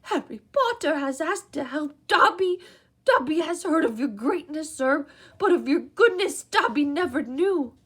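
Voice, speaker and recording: A woman voicing a small, servile male house elf with a squeaky, high-pitched voice and an earnest, tearful, overly formal manner. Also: expressive, a little slow. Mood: adoring.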